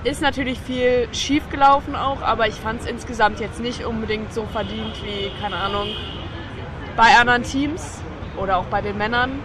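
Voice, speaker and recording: A woman's voice: a little high, steady tone, normal speed. A woman being interviewed, giving her opinions in a casual, conversational way. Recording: noisy outdoor crowd background. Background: crowd chatter, outdoor noise.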